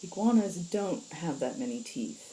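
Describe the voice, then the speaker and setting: A woman's voice: lowish voice, sing-song, normal speed, warm tone, slight background noise, pitched above the performer's own voice, low-quality recording. A woman reading the part of a terse, commanding female squad leader in a space opera story, dry and plain-spoken, insects faintly behind. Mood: dry jab.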